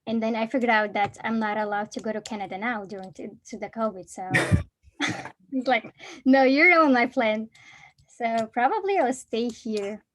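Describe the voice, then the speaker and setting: Young woman, Arabic speaker, slightly higher voice, friendly and giggly, talking over a video call. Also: moderate pace, fairly bright tone. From Egypt. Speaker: honors student, club vice president. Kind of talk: casual video call chat.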